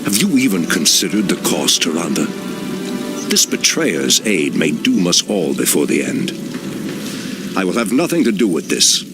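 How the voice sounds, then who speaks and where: Stern, gravelly elf druid leader. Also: male voice, low voice, big pitch swings, normal speed, music in the background. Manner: commanding, grave.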